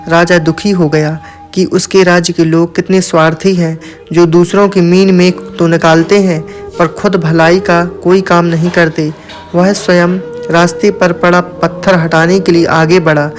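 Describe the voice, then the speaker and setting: A man's voice: high voice, little pitch movement, a little slow, faint music behind. A man narrating a scripted moral tale as a storyteller.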